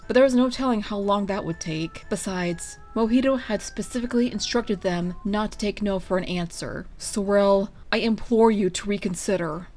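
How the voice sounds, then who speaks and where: A woman reading a story aloud as its narrator, in an expressive scripted style. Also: medium pitch. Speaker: fan fiction reader.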